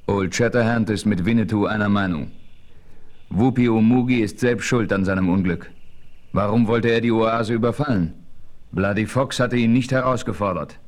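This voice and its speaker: Male actor as frontier hero, slightly deep voice, measured, authoritative, scripted storytelling, radio drama. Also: lightly acted. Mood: firm. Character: male frontiersman hero narrating his adventure. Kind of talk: scripted narration and radio play acting.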